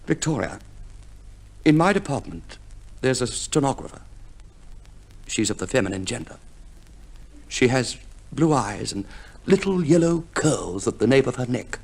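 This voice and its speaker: An actor playing a vain, aggrieved gentleman husband in a 1950s radio comedy, theatrical and full of mock sincerity. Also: mid-range voice, lively intonation, a little slow, creaky, long pauses, slightly rough, full character voice. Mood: sly confessing.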